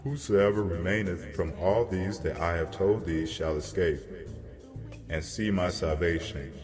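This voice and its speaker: Man as solemn angel messenger, cavernous reverb, music underneath, measured declamation, grave prophetic delivery, scripture drama. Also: low-pitched.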